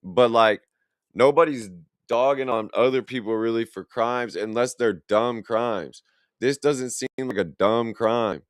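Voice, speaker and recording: Man, young, smooth clear tone, blunt profane ranting. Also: mid-range voice, a little slow, no room sound, clean studio sound. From Illinois, USA. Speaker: reaction streamer. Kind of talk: casual reaction commentary.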